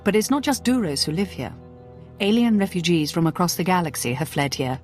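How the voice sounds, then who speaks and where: A woman's voice: lowish voice, animated, a little fast, darkish tone, soft background music, lightly acted. An actress playing a composed female imperial intelligence officer in a video game, giving briefings in a grave, clipped manner. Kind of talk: video game character acting.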